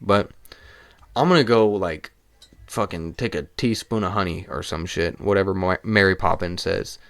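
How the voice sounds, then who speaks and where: A young man with a low, hoarse voice, recorded dry and close. He talks casually, with swearing, in quick bursts, his throat worn out. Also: fairly bright tone, dry recording. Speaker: podcast host. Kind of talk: casual solo podcast breakdown.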